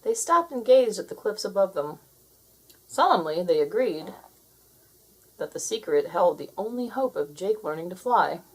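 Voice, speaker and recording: A woman reading a children's story aloud as an expressive storyteller, in scripted narration. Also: lowish voice, normal speed, slightly rough, rough recording.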